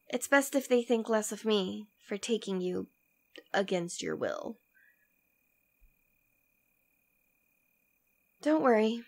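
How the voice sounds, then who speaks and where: Woman acting a creaky-voiced, doting demon queen. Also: medium pitch. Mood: tender, defiant. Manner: intimate, tender, teasing, often dropping to a whisper. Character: devoted female demon queen, teasing, protective lover. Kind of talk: ASMR roleplay audio drama.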